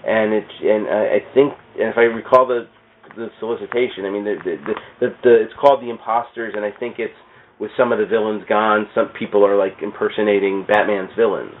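A man chatting casually on a comics podcast in a rambling, halting way, often repeating words as he goes. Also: on the low side, fairly even intonation, slight background noise. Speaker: podcast speaker discussing comics. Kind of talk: casual chat. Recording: thin phone-like radio sound.

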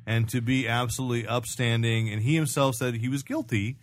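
Guy hosting a nerdy podcast, medium pitched voice, jokey and teasing, casual chat. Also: fairly even intonation, a little fast. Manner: bantering, exclamatory, teasing. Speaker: podcast host. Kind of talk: casual comics and movie chat.

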